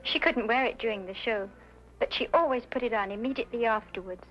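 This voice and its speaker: Actress as a high-voiced earnest showgirl. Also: fast talker, lightly acted. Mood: earnest recounting. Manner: earnest, dramatic, gossipy recounting. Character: young showgirl singer, earnest, obliging, easily upset. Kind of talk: old-time detective drama acting. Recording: muffled old radio-era recording.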